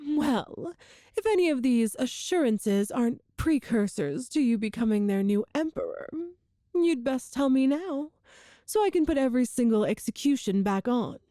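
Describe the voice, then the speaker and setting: A woman playing a cruel, seductive evil empress in an ASMR roleplay drama, speaking with imperious, deliberate menace. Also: on the high side, lively intonation, warm tone, no room sound, studio quality, close to an everyday voice. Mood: cold command.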